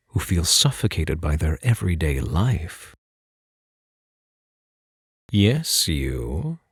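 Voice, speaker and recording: A man plays a charming phantom thief with a very low voice that swings expressively as he teases and coaxes. He speaks softly and knowingly in a close, dry ASMR roleplay, as if confiding in one listener. His delivery is unhurried and intimate. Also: creaky, slightly rough, no room sound, exaggerated voice. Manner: soft-spoken, coaxing, knowing, unhurried. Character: suave, teasing male phantom thief posing as prison guard.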